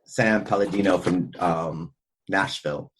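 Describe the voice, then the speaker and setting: A man's voice: sits low, a little slow, a little hiss or hum, poor audio. A man hosting a podcast in a casual, chatty style. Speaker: podcast host. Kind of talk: casual chat.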